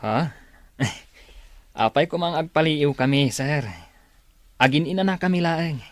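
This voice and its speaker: A man's voice: fairly high pitch, takes it slowly, no room sound. A man playing an eager, nervy burglar sidekick in an audio drama, questioning and deferential.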